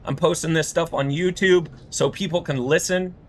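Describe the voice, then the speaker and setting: A man streaming a game, talking casually, rambling with many fillers while he vents frustration, his voice going hoarse from talking all day. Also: a little high, steady tone, normal speed.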